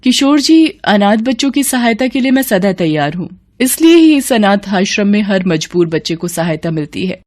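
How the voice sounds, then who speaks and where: A woman's voice: mid-range voice, sing-song, moderate pace, hardly any pauses, a little mellow, lightly acted. A woman voicing a kind, motherly orphanage keeper in a cartoon moral story, earnest and reassuring. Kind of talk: animated moral story acting.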